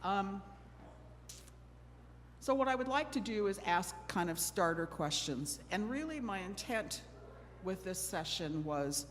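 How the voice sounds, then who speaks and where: A middle-aged woman from Oregon moderating a church panel discussion, chatty and a bit nervous, joking at her own expense. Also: fairly low pitch. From Oregon, USA. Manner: nervous, self-deprecating, chatty with laughs. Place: church hall. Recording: long hall reverb with faint noise.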